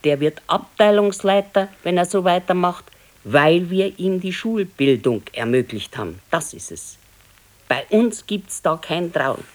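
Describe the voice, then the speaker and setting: An actress playing a practical, modest old wife with a Bavarian accent, admonishing and matter-of-fact in a radio play. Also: female voice, fairly low pitch, expressive, measured pace. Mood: firm, resigned. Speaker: radio drama actor. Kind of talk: 1970s naturalistic dialect radio play.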